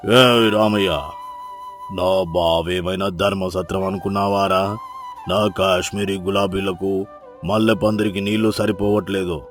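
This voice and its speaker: A man's voice: low voice, flat delivery, measured pace, faint music behind. A man voicing a harsh, haughty landlord who speaks in an imperious, scolding way for a moral-story cartoon. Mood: angry, dismissive. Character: harsh, haughty male landlord obsessed with his garden.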